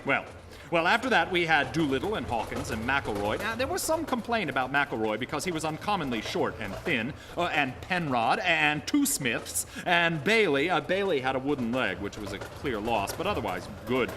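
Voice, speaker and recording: A man's voice: a little high, very animated, normal speed, music in the background. An actor playing a genial, courtly, mad congressman telling a grisly tale earnestly in a live radio play. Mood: earnest, matter-of-fact. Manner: courtly, formal, fond, theatrical storytelling. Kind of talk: live radio drama acting. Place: theater stage before a live audience. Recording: reverberant live theater stage, audible noise.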